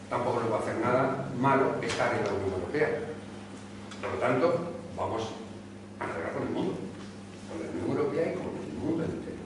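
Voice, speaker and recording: A man in his sixties from Córdoba, giving an impassioned talk at a neighborhood meeting, full of rhetorical questions. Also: medium pitch. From Spain (Córdoba). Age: 60s. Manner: rhetorical questions, emphatic and earnest. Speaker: speaker at a public talk. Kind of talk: impassioned public speech. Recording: echoey hall, distant mic, background noise.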